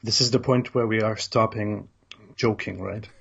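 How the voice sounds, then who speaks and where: A man's voice: on the low side, fairly even intonation, normal speed, creaky, a bit bright, husky. A man from southern Germany with a German accent, talking conversationally as an interview guest and often repeating words as he searches for them. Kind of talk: conversational interview, personal account. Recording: dull, lo-fi audio.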